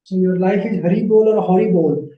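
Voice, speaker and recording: A man teaching Sanskrit grammar in an online class, patient and repeating phrases slowly, his English not his first language. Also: sits high, echoey room, muffled recording.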